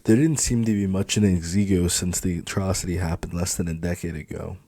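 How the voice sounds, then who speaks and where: A man's voice: deep voice, steady tone, fairly quick, warm tone. A man reading scripted first-person fiction as an audiobook narrator.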